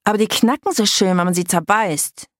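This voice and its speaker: A woman's voice: mid-range voice, fairly quick, no echo, studio quality, voice pushed up, natural voice. A woman narrator voicing a blunt, enthusiastic tomboy vampire girl in a children's story. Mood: gleeful.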